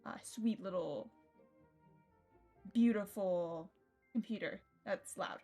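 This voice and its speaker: A woman's voice: mid-range voice, slow pace, warm tone. Young woman streamer chatting, music behind. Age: young adult. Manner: informal, sweary. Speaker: livestreamer. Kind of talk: casual chat to stream viewers while setting up a game. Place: indoors at home computer setup. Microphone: streaming mic with noise gate.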